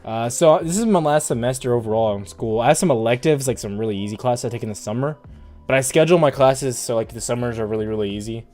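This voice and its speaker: Twenty-something guy streaming, easygoing and rambly, average pitch, soft music in the background. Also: quick pace. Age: 20s. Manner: laid-back, rambling, heavy use of "like". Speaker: stock trading livestream host. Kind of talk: casual livestream chat, reading viewer comments.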